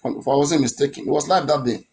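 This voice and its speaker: A man's voice: medium pitch, fast, a little mellow, slight background noise. A man, giving his opinion in a chat and pressing his points with repeated rhetorical questions.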